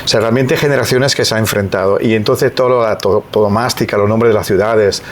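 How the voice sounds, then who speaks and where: A man's voice: on the low side, steady tone, fairly quick, slight background noise. A middle-aged man from Italy's Veneto, speaking Spanish with an Italian accent as a podcast guest, reflective and hesitant with many restarts. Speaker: guest, EU civil servant. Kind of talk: podcast interview.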